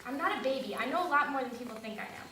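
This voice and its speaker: A woman plays an anxious young American woman who talks fast, pleading and emotional, in a slightly high voice. She is heard from a distance in an echoey space with clear noise around her. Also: expressive, sharp tone. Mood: upset. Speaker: stage actress. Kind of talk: stage play performance. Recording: roomy, distant mic, noisy.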